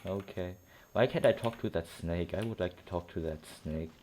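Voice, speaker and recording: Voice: low voice, moderate pace, darkish tone, slight background noise. A game streamer giving casual commentary while playing, muttering asides and thinking aloud. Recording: game music behind. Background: video game soundtrack and dialogue.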